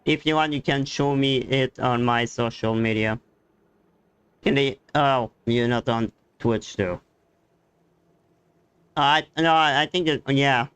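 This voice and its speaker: A man's voice: medium pitch, slow, long pauses, fairly bright tone. A man chatting casually as a game streamer, hesitant and rambling, often repeating words. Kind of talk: casual live-stream commentary and chat.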